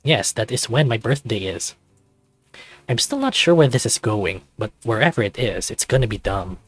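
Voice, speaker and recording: Man playing an exasperated, animated teenage soccer player. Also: mid-range voice, moderate pace, dry recording, soft background music. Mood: dry, exasperated. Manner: exasperated, sarcastic. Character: grumpy, excitable teenage male high school soccer player.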